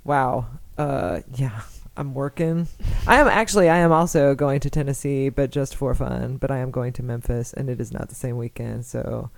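A woman with a low voice chats as a podcast co-host, blunt, joking and quick to swear. The sound is dry and close. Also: creaky, no echo. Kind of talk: casual chat.

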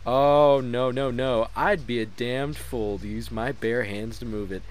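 An actor playing a conceited, defensive hack author in a comedic audio drama, pompous and blustering. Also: male voice, mid-range voice, steady tone, a little slow, close to an everyday voice. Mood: smug. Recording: noisy amateur mix, faint hiss.

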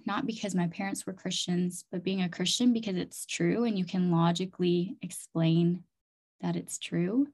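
A woman's voice: on the low side, creaky, a little mellow. Earnest woman telling her personal story. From Chiang Mai, Thailand and North Dakota. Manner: earnest, emphatic, uses "like" and "literally" often. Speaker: interview guest, community development leader and leadership coach.

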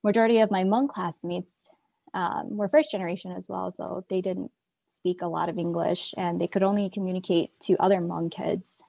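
Young woman from Minneapolis, candid, low voice, gravelly fry, dry close sound. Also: fairly even intonation, moderate pace, dark tone, dry recording. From Minneapolis, USA. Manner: candid, recounts quoted remarks. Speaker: interviewee. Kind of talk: personal account.

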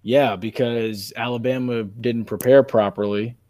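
A man hosting a sports podcast in casual, animated debate, making his points emphatically and repeating phrases for effect. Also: medium pitch, unhurried, no room sound.

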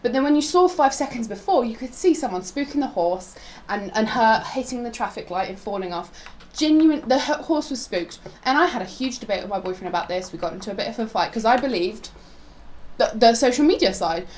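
A woman's voice: a little high, animated, brisk, a little mellow. Young woman from poland, casual opinionated chat about current affairs, kinda rambly, some noise behind her. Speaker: co-host. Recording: noticeable background noise.

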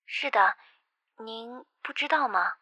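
A woman's voice: on the high side, slow, bright, forceful tone, breathy edge, no echo, heavily acted. A woman playing a polite, concerned kindergarten teacher in a Chinese audio drama.

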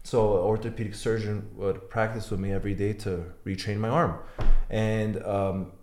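A man's voice: fairly low pitch, level tone, moderate pace, a little mellow, reverberant. A young man, born in Iran, telling his story as a podcast guest, blunt and swearing freely. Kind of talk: podcast interview.

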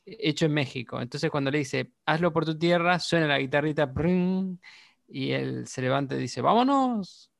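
A man's voice: fairly high pitch, lively intonation, normal speed, vocal fry, dry recording, studio quality. An Argentine man, chatting casually and agreeing often. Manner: agreeable, lots of "claro" and "sí". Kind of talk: casual chat.

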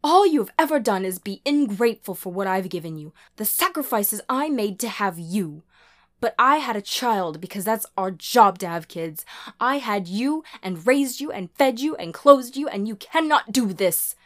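A woman narrator as a distraught mother, bitter, demanding, pleading, wailing, raised pitch, audio drama. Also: expressive, moderate pace, close to an everyday voice. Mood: desperate, angry. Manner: wailing, begging, insistent.

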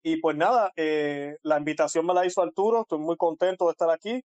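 Puerto Rican man, halting and slightly high. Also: a little mellow, dry recording, muffled recording. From Puerto Rico. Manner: halting, pauses often. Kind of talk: casual interview talk.

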